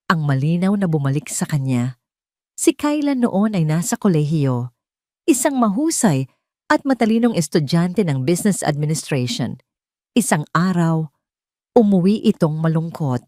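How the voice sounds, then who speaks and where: Low-voiced woman narrating a drama. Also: darkish tone, dry recording, studio quality. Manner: measured, emotional storytelling. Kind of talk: scripted audio drama narration.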